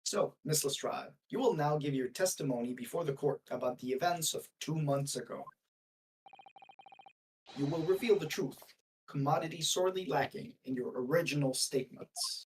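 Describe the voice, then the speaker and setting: Pompous courtroom judge played by a man, formal and indignant, slightly high voice, distant mic with faint noise. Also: normal speed, natural voice. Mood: stern, puzzled. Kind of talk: video game fan dub.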